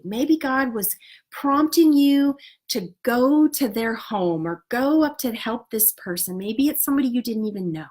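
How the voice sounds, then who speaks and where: A woman with a fairly high, stuffed-up voice that comes out a little nasal. She speaks outdoors as a spiritual coach. Her talk is earnest and encouraging, dotted with "you know" and "right?". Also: slightly rough. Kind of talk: casual motivational talk to an online group.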